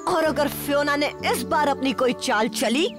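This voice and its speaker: A woman voicing a plucky, boastful young jungle boy in a cartoon, excitable, with music underneath. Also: high-pitched, moderate pace, natural voice. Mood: excited, boastful. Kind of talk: animated cartoon voice acting.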